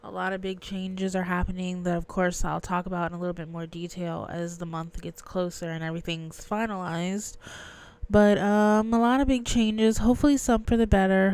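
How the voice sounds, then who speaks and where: A woman's voice: lowish voice, little pitch movement, normal speed, a little mellow, clear, resonant tone, no room sound. Stuffy, nasal young woman streamer. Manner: chatty, answers viewers' messages. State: stuffy nose from crying. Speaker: livestream host. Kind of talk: casual livestream chat.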